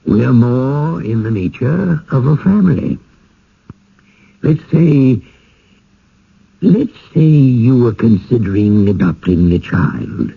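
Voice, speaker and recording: An actor playing a genial, insistent small-town village elder in a radio drama, with a folksy, courtly, speechifying manner. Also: male voice, mid-range voice, expressive, slow pace, creaky, pauses often, slightly rough, full character voice. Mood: patient, persuasive. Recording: dull lo-fi old radio broadcast.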